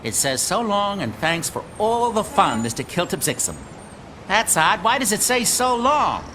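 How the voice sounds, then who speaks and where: An actor playing a small, excitable, mischievous imp in an animated superhero cartoon, with a theatrical, gushing delivery. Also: male voice, sits high, normal speed, full character voice. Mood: puzzled, indignant. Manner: theatrical, gushing, exaggerated swoops. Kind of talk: animated superhero villain voice acting. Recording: clear background noise.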